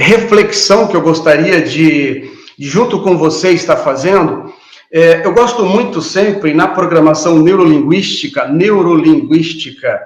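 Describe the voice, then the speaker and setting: Man, 50s, earnest guest lecturer, hesitant and reflective, slightly high voice, roomy video-call sound. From Paraná, Brazil. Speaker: guest speaker, behavioral trainer. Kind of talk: online lecture talk.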